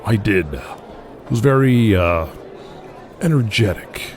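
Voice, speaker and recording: A man's voice: on the low side, expressive, slow, background noise. A man playing a wary, plain-spoken veteran pro wrestler with a hesitant, guarded manner in an audio drama. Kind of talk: audio drama character acting.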